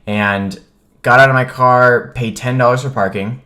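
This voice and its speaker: A man's voice: mid-range voice, fairly even intonation, normal speed, bright, forceful tone. An American man vlogging in a casual, conversational way, telling a personal story in informal, clipped sentences.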